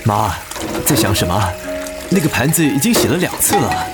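A man's voice: mid-range voice, sing-song, moderate pace, close to an everyday voice. An actor playing a conciliatory, apologetic son-in-law and hardworking family man in a radio drama. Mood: apologetic, earnest. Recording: music behind the voice.

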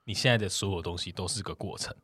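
A man's voice: deep voice, fast, pushed and bright, breathy edge, dry recording. A young man co-hosting a casual podcast, playful and teasing, often repeating his phrases. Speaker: podcast co-host. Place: podcast recording studio. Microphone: close studio microphone.

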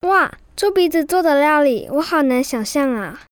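A woman's voice: high-pitched, expressive, normal speed, no echo, studio quality. A woman playing a polite, curious, easily amazed schoolchild in an educational radio drama.

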